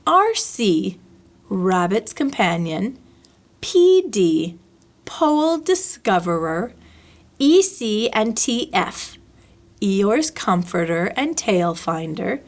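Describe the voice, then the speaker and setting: Expressive woman reading a storybook, very lively pitch. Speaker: librarian reading a children's book aloud.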